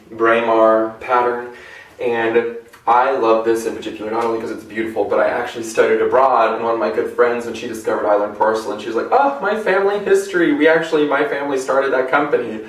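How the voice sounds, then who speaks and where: A young American man presenting a collection in a casual, chatty, enthusiastic and rambling vlog style. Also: lowish voice. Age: young adult. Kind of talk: casual show-and-tell vlog. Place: indoor room at home. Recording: echoey room, distant microphone.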